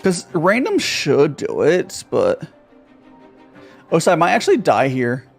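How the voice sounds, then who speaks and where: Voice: medium pitch, wildly swooping pitch, unhurried, creaky, slightly rough, soft background music. A streamer talking casually while playing a card game, thinking aloud with many fillers and repeated words. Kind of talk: casual live gameplay commentary.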